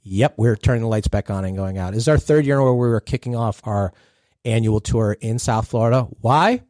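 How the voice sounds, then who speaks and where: A man's voice: lowish voice, fairly quick, a little mellow, dry recording, studio quality. A man hosting a podcast in casual chat, joking and laid-back, often trailing off mid-sentence. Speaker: podcast host.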